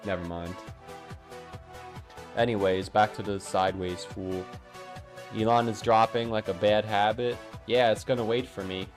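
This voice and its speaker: A young man with a lowish voice hosts a loose livestream chat. He rambles in a brash, slangy way, with faint music playing behind him. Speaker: streamer host.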